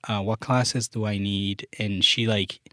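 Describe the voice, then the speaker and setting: A man's voice: on the low side, measured pace, no echo, studio quality. A young man, a Luganda speaker, chatting casually as a podcast guest and using many fillers. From Uganda. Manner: relaxed, many fillers like "like" and "you know". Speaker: guest, software developer.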